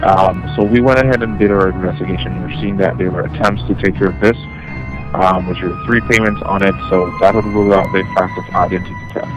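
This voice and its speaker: A man acting as a debt collection manager on the phone, explaining formally in a halting, wordy way. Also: sits low, steady tone, poor audio. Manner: halting, wordy, repeats himself. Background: music.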